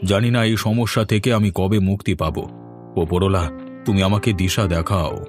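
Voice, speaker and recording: A man's voice: low-pitched, steady tone, normal speed, over music. An older man voicing a worried, dismissive village farmer and uncle. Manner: brushing off, fretful.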